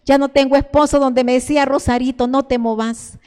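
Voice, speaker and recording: A woman's voice: high-pitched, fairly even intonation, brisk, a little mellow, clear, resonant tone. An older woman from El Salvador with a Salvadoran Spanish accent, teaching a church sermon in a fervent, repetitive way, often restarting her words. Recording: long reverb in a church hall.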